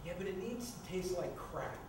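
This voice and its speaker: A man's voice: high-pitched, lively intonation, moderate pace. A man acting a flippant, pleading housemate of about thirty in a staged screenplay reading. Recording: echoey, noisy room from far off.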